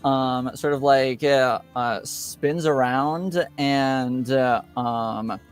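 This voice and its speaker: A man improvising narration as a game master in a tabletop roleplaying game, halting and hesitant with many filler pauses. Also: medium pitch, clean tone, faint music behind.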